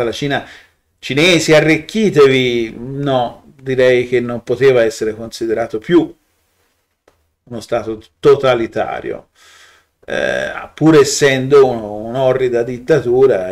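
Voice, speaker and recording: Hesitant man musing to camera. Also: medium pitch. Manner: hesitant, many fillers and restarts, thoughtful. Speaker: video blogger. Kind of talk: reflective commentary to camera.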